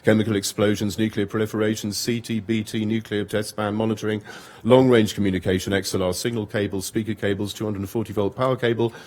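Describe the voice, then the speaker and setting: A man giving an academic lecture, reading long lists in a steady rhythm with occasional small stumbles. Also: fairly low pitch, fast. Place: lecture hall.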